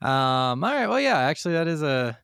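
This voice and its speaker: A man with a slightly high voice chats casually as a podcast co-host. He sounds amused and excitable and swears often, recorded dry with no room sound. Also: a little fast, clear, resonant tone, no echo. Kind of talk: casual video game discussion.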